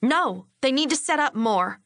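A woman voicing a sharp-tempered young wife and mother in a storybook, with a hard, defensive edge. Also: on the high side, animated, moderate pace, dry recording, studio quality, voice pushed up, exaggerated voice. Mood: irritated.

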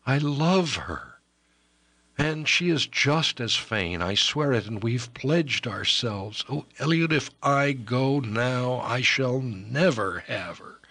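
A man's voice: medium pitch, expressive, slow, pushed and bright, no echo, full character voice. A man voicing an impassioned young man in love, pleading urgently.

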